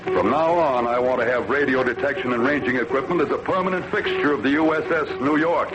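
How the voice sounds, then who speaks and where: An American actor playing a gruff, formal older navy admiral with a commanding manner in an old-time radio drama. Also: male voice, mid-range voice, quick pace, loud music behind the voice, lightly acted. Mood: impressed, formal. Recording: muffled, noisy old radio recording.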